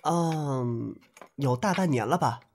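Man as gentle wistful young man, reverberant. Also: medium pitch, slow. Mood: shy, curious. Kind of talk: Chinese audio drama acting. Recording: reverberant, with music behind.

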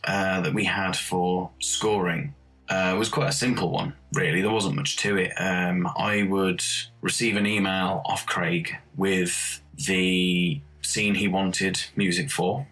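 A man with a low voice speaks casually, reminiscing as a composer in an interview. Music plays softly behind him. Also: slow pace, low-quality recording.

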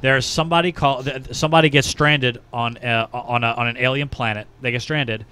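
A man's voice: medium pitch, steady tone, brisk, bright, forceful tone, clear, resonant tone. A man chatting casually as a podcast co-host, emphatic and opinionated, on a close studio microphone. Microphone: close podcast microphone, headset.

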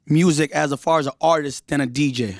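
A man hosts an interview in a small studio, holding a handheld mic and hyping his guests. His voice has a gravelly edge and sits a little high. The sound is dry and close. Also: fairly quick, no room sound. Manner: energetic, hyping guests, lots of backchannel "uh". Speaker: radio DJ and interview host. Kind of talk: hype interview hosting. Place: small studio with green lighting.

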